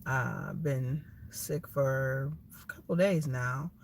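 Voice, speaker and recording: A woman's voice: very deep voice, takes it slowly. A woman in her thirties chats in a loose, rambling way, stopping often for ums and restarts. Her voice is slightly nasal as she gets over a cold. She records while waiting at a food place, and a faint background hum comes through. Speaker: lifestyle vlogger. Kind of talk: casual live vlog monologue.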